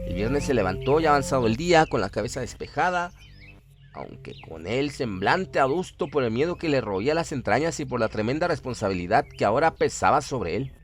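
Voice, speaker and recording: A man's voice: mid-range voice, sing-song, brisk. A man narrating a scripted horror story in a dramatic radio style. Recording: prominent music under the voice. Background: music.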